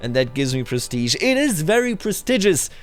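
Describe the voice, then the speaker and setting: A man hosting a roleplay game video, commenting in a measured, deliberate way with emphatic repetition, his voice slightly tender. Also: on the high side, sing-song, soft background music. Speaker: gaming video host.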